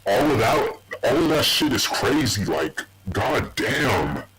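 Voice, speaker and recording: A man rambling casually and swearing heavily through a low voice changer, recorded on a loud, distorted microphone. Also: sing-song, slow, a loud crowd. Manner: heavy swearing. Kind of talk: rambling casual personal monologue.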